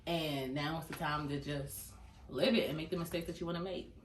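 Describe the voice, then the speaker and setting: A woman's voice: low voice, fairly even intonation, brisk. A woman in her twenties talking casually and thoughtfully about life in her twenties. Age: 20s. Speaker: interviewee. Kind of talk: casual reflective talk. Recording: roomy, distant mic, faint hiss.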